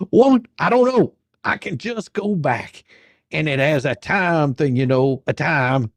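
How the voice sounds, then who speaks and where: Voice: medium pitch, no room sound. Performer acting a pushy, folksy attorney, swooping intonation. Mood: exasperated. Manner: badgering, sarcastic, coarse. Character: pushy, folksy, exasperated attorney questioning a witness. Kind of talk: dramatic reading of a deposition transcript.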